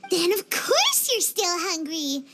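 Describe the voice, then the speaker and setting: An excitable little fairy sidekick in a video game. The voice is squeaky and childlike, unhurried but leaping wildly in pitch, with exclamations throughout. Loud background noise sits behind it. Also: female voice, exaggerated voice. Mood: bright, inquisitive. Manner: exclamatory, refers to herself by name. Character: tiny excitable childlike fairy companion, chatty and indignant. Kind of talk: English video game dub acting.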